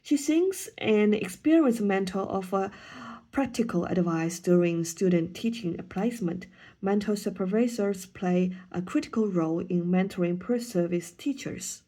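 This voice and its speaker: A woman's voice: medium pitch, a little slow, warm tone. A woman whose first language is Chinese, a student teacher giving a hesitant class presentation with frequent ums and restarts.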